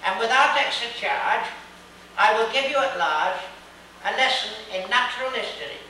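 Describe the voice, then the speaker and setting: A man's voice: very high voice, animated, normal speed, pushed and bright, pitched above the performer's own voice, heavily acted. A man playing a pompous, genial butcher who lectures theatrically in a dramatic poetry reading. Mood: excited, chuckling. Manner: lecturing, theatrical, breaking into chuckles. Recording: distant, very echoey hall, faint hiss.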